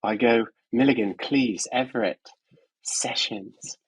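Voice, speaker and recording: A man's voice: mid-range voice, slow, slightly rough, no room sound, rough recording. A man putting on a cheeky English bloke. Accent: put-on English. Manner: slips in British slang, laughs between lines. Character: cheeky English bloke, jokey male adult. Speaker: podcast guest. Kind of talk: playful comic impression.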